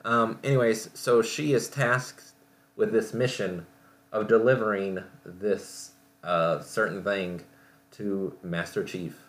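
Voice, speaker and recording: A man hosting a podcast in casual solo talk, rambling and halting as he often corrects himself. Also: on the low side, fairly even intonation, fairly bright tone. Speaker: podcast host.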